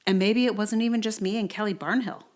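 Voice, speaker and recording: A woman in her forties speaks as a podcast host sharing a personal essay. Her pitch sits in the middle, and her delivery is conversational and wry. She is recorded on a portable mic in a house with a slight echo. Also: steady tone, quick pace, darkish tone. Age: 40s. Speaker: podcast host reading own blog post. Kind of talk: casual intro, then read-aloud personal essay. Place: indoors in a sparsely cushioned house. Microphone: portable travel microphone.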